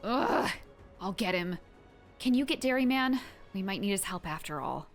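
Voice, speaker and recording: A plucky young superheroine played by a woman in an audio drama. She is spirited and sassy, with lively, animated intonation and faint music behind her. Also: medium pitch, moderate pace, lightly acted. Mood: exasperated.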